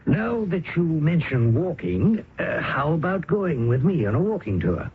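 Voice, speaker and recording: An actor playing an older English colleague who narrates the story in a measured, soothing way. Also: male voice, fairly high pitch, very animated, noisy background. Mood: coaxing. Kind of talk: storytelling narration in radio drama. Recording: old radio broadcast, phone-like band with music.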